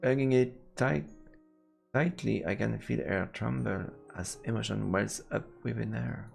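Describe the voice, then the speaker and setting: A man with a gravelly voice doing casual let's play commentary and reading game text aloud as he streams. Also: lowish voice, measured pace. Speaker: streamer playing a visual novel. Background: game music.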